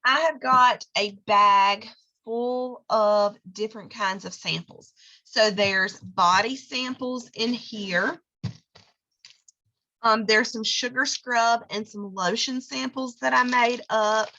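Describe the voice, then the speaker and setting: A hoarse woman, giving a chatty how-to talk and often checking in with "okay?". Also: on the high side, steady tone, slow, sharp tone, muffled recording. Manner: chatty, lots of fillers and "okay?" check-ins. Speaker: direct sales consultant.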